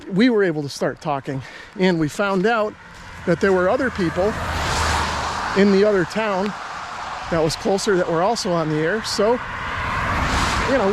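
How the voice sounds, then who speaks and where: A higher-voiced American man in his forties talks off the cuff in a relaxed, halting vlog style. He is slightly winded. A faint layer of noise sits behind his voice. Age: 40s. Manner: laid-back, hesitant, chuckles often. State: slightly out of breath. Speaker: hobbyist video creator. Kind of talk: casual unscripted chat to camera. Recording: steady background noise.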